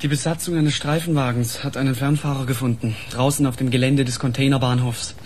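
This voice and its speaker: An actor playing a deferential junior police officer in a radio crime drama. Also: male voice, mid-range voice, steady tone, a little fast, a little mellow, slight background noise, natural voice. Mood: grave. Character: dutiful male junior police officer reporting grimly.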